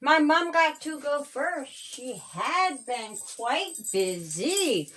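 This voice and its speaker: A woman reading a children's storybook aloud as its author, in a measured, sing-song rhyming delivery. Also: sits high, animated, a bit bright, faint music behind.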